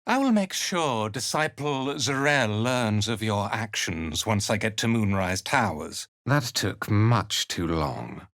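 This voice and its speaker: An actor playing a cold, condescending cult commander in a video game, speaking in a formal, measured way. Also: mid-range voice, fairly bright tone, dry recording, studio quality, exaggerated voice. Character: haughty, cold cult commander, disdainful slave-driver and zealot. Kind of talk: video game character dialogue.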